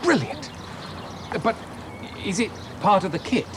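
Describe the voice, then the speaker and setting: A man's voice: high-pitched, sing-song, measured pace, background noise. A man playing an eager, cheerful amateur husband and customer in an instructional film. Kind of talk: scripted instructional film acting.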